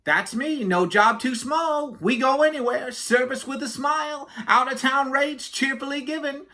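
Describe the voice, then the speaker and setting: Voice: high-pitched, a little slow, sharp tone, pitched above the performer's own voice. A storybook reader voicing a chatty, self-important yak taxi driver who rambles indignantly and obliviously. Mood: boastful, rambling. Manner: rambling, indignant, never lets others speak.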